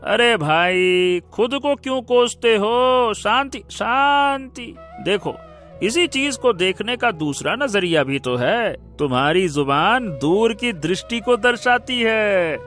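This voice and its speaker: Man voicing a bright, high-pitched cheerful farmer. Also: expressive, takes it slowly, soft background music, heavily acted. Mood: consoling. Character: upbeat, reassuring poor village farmer brother, male. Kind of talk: Hindi cartoon story voice acting.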